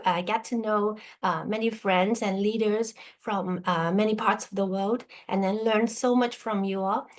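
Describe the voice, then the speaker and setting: A middle-aged woman, born in Burma and raised in Hong Kong, talking casually to an online group as a guest presenter over a video call. She often stops to ask her listeners "okay?". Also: mid-range voice. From born in Burma, grew up in Hong Kong. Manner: checks in with listeners by asking "okay?".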